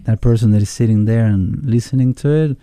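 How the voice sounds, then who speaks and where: A man with an Argentine Spanish accent, a musician guest in a casual interview, speaking in a thoughtful, halting way as he searches for words. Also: medium pitch, dark tone, clean tone, dry recording, clean studio sound.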